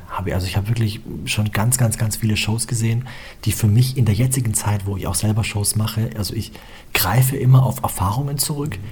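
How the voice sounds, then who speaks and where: A young man with a low, very husky voice talks about his musical path in a loose, rambling way. He keeps dropping filler phrases into his sentences. The delivery is relaxed, conversational interview talk. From Germany.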